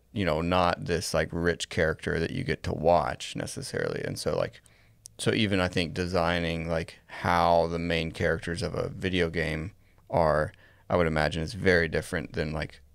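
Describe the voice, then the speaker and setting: A man in his thirties co-hosting a casual podcast, speaking in a measured, tentative way close to a studio microphone. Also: sits low, creaky, a bit bright, clear, resonant tone, no echo. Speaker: podcast co-host. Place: small indoor podcast studio. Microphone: close boom-arm studio microphone.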